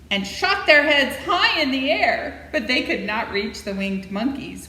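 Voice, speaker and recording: A woman reading a children's story aloud in an expressive, playful way, with dramatic emphasis and asides to her listeners. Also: fairly high pitch. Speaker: library storytime reader. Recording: echoey room with faint noise.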